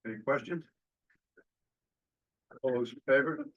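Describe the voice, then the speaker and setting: A man runs a formal board meeting, moving briskly through motions and votes in set phrases. The sound is slightly dull, like a plain meeting-room recording. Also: mid-range voice. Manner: brisk, procedural, formulaic motions. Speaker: board chair.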